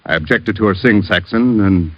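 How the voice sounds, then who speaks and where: A man's voice: lowish voice, moderate pace, lightly acted. An American man playing a stern, impatient, middle-aged wealthy businessman and father in an old-time radio drama. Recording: muffled vintage radio recording.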